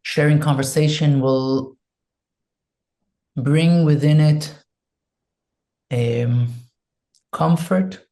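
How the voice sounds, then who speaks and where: A man from Israel with an Israeli accent, speaking in English as an interview guest, soft and hesitant, searching for words and leading a quiet prayer. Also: a little high. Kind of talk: slow reflective interview talk with a spoken prayer.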